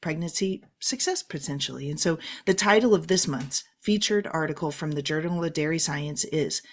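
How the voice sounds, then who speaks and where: A woman with a voice on the lower side hosts a podcast. She moves between a prepared, presentational read and interview questions addressed to her guest. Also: lively intonation, moderate pace. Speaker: podcast host. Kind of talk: scripted introduction and interview questions.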